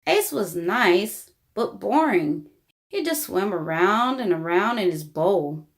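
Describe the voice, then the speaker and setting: A woman reading a children's book aloud in an expressive, deliberate storytelling style. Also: mid-range voice. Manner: animated, deliberate storybook delivery. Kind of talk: expressive read-aloud narration for young children.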